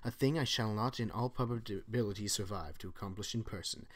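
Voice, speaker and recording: Man putting on a German U-boat commander, doomed and formal, put-on German accent, slow stiff reading. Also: lowish voice, close to an everyday voice. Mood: grave. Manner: slow, formal reading, stumbles over words. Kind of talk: amateur horror story reading.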